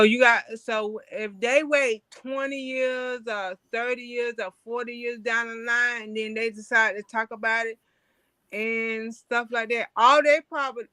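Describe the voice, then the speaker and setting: A woman's voice: a little high, bright, forceful tone, dry recording. A woman with a Southern US accent giving casual advice in an earnest, conversational, repetitive way. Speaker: panel guest.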